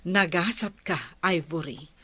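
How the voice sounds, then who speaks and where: A woman's voice: lowish voice, measured pace, pushed and bright, slightly rough, no echo, full character voice. An actress with a Filipino accent playing a kindly, agreeable well-off lady in a radio drama. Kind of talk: Ilocano radio drama acting.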